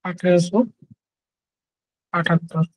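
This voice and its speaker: High-pitched man teaching, even tone. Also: dry recording, low-quality recording. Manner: repeats questions and answers in short, halting phrases. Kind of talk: exam question-and-answer lesson.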